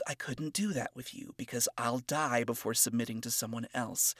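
A man voicing a cold, self-absorbed seductive woman who speaks in a hushed, condescending way. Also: medium pitch, dry recording, studio quality. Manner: hushed, breathy, condescending, slow. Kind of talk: reading fan fiction aloud.

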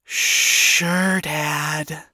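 Woman putting on a young princess, high voice, chipper, earnest, hesitant forced cheer, animated, storybook character. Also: normal speed, no room sound, poor audio. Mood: exasperated. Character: hopelessly chipper young princess, earnest, nervously enthusiastic leader.

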